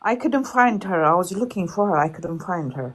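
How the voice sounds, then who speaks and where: A woman's voice: on the low side, expressive, fast talker, a crowd in the background. A woman with an Ethiopian accent chatting casually, switching back and forth between two languages. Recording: echoey room sound.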